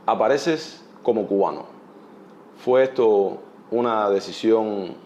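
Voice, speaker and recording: A man interviewing a guest, asking formal questions in a slow, deliberate way. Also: fairly low pitch, warm tone, muffled recording, slight background noise.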